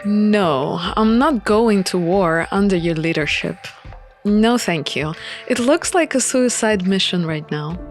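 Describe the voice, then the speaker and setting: A woman's voice: mid-range voice, lively intonation, a little slow, soft background music. A woman voicing a defiant, sardonic young runaway royal in a visual novel, sarcastic and challenging.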